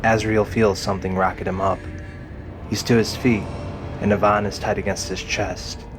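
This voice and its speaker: A man's voice: on the low side, flat delivery, normal speed. A man reading scripted dramatic narration as the narrator of an audio drama. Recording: music behind the voice.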